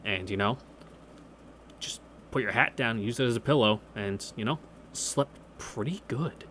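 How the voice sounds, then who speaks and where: A man's voice: mid-range voice, a little slow, a bit bright, a little hiss or hum. A man reading through a visual novel in a casual, laid-back way, with wry asides. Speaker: visual novel let's player. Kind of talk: casual read-through with reactions and anecdotes. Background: video game music.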